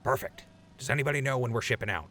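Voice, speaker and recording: A man's voice: medium pitch, quick pace, pushed and bright, natural voice. A man playing an easygoing, wisecracking leader of a radio crew in an audio drama, glib and casual. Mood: breezy.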